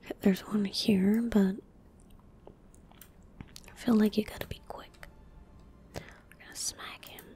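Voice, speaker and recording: A woman in her twenties giving gentle ASMR game commentary in a soft, breathy whisper, close to a desktop microphone. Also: mid-range voice, flat delivery. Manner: gentle, unhurried, murmuring. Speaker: streamer playing a video game. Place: small indoor room at a desk. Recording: close-miked with faint background noise. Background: faint game ambience.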